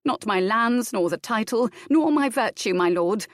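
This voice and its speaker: A woman voicing a proud young noblewoman who gives cold, sharp commands. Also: on the high side, animated, normal speed, no echo, studio quality, voice pushed up, natural voice. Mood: defiant.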